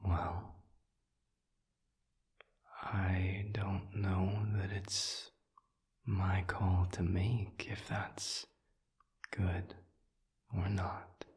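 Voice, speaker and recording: A man playing a calm, considerate demon in an intimate audio roleplay, speaking in a breathy near-whisper with measured, formal, gentle phrasing. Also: low-pitched, exaggerated voice. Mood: measured, reassuring. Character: calm, considerate male sadism demon offering comfort.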